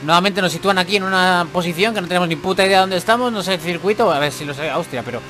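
Fast-talking man commenting on gameplay. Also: high-pitched, background noise. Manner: swearing, exasperated, chatty. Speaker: gaming YouTuber.

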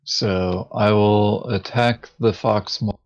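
A man playing a board game, talking casually in terse, deadpan remarks. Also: lowish voice, slow. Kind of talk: casual gaming chat. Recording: dull, lo-fi voice chat microphone.

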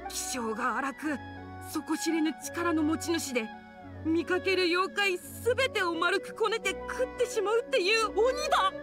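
Woman as a very high-voiced, earnest little boy yokai. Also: normal speed. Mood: grave, wary. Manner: earnest, defiant, boyish. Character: defiant little one-eyed boy yokai. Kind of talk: Japanese video game voice acting. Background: music.

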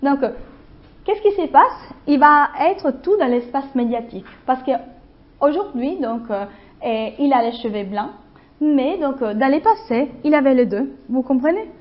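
Italian-accented woman lecturing, many pauses. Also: high voice, animated, muffled recording. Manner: hesitant, many fillers and restarts, checks understanding. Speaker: guest lecturer. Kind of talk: university lecture.

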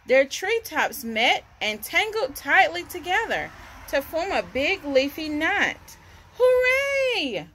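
Slow, high-voiced woman reading a story. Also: big pitch swings, pushed and bright, slight background noise. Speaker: storybook reader for children. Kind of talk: read-aloud children's story. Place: outdoors on a covered house porch. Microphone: camera or phone at about arm's length.

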